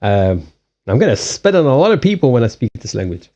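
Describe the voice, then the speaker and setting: South African-accented male streamer, Portuguese native, rambling and amused, husky and mellow, slightly muffled mic. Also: mid-range voice, big pitch swings, brisk. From Mozambique. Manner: rambling, amused, self-correcting. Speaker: streamer doing card readings. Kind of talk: casual live-stream chat.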